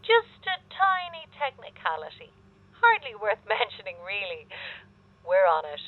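An actress playing a brisk, apologetic studio technician in an audio drama, heard through a talkback filter. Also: female voice, mid-range voice, huge pitch swings. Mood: flustered, reassuring. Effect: telephone or talkback filter. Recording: thin phone-like talkback line.

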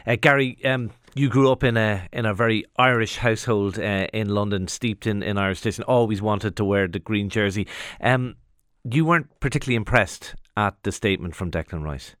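Low-voiced radio host, dry studio. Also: male voice, fairly bright tone, smooth, no echo. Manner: brisk, leading questions. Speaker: radio sports presenter. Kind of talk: live radio interview.

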